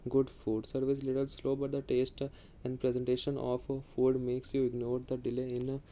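Flat, mellow man reading reviews. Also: medium pitch, normal speed. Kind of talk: reading customer reviews aloud. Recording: noticeable background noise.

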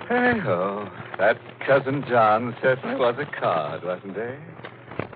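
An actor playing a ghoulish, tongue-in-cheek host of a 1940s radio mystery show, joking macabrely. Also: male voice, medium pitch, big pitch swings, takes it slowly. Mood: wryly macabre. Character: sardonic, ghoulish male horror-show host. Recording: muffled, noisy old radio recording.

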